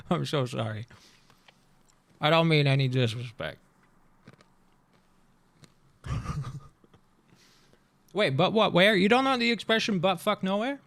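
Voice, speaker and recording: A man in his thirties chatting casually on a live stream, rambling and joking as he reacts to a video, his voice swinging between loud and soft. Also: fairly high pitch, slow, creaky, pauses often.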